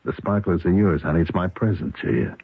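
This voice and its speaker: A slick, double-crossing crook in an old radio drama. His voice is low and husky, with clipped, bossy, streetwise delivery. The sound is dry and tinny. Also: animated, fairly quick, no echo, heavily acted. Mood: smooth, bossy. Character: slick, bossy small-time con man, sly and double-crossing. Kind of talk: 1970s radio drama acting. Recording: tinny, band-limited old radio recording.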